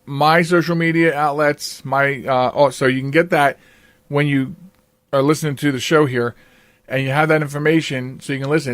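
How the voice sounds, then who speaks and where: A man's voice: a little high, a bit bright, no room sound. A man hosting a podcast, chatting casually with a guest in a chatty, rambling way. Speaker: podcast host. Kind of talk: casual interview chat.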